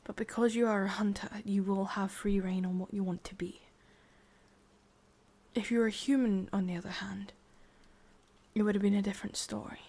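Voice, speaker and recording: A woman with an English accent playing a sadistic, possessive witch in a hushed, breathy ASMR roleplay, slow and coaxing. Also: medium pitch, fairly even intonation, close to an everyday voice. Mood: coaxing, careful.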